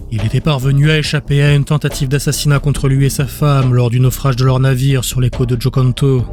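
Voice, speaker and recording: A man's voice: medium pitch, a little mellow. A man reading scripted dramatic fantasy narration as an audiobook narrator.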